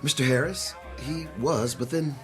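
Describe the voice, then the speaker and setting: An actor playing a courteous, hesitant restaurant waiter in an audio drama, deferential in manner. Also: male voice, fairly high pitch, moderate pace, soft background music, lightly acted. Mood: deferential, evasive.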